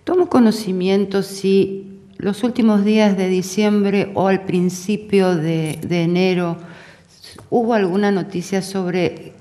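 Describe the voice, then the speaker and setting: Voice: medium pitch, fairly even intonation, takes it slowly, warm tone. Interviewer's voice with long hall reverb. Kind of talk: formal interview questioning.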